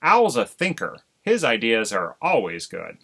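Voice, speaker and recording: A man's voice: high-pitched, wildly swooping pitch, moderate pace, bright, forceful tone, voice pushed up, heavily acted. A man reading aloud and voicing a pompous, timid husband crow in a storybook, fussy and defensive.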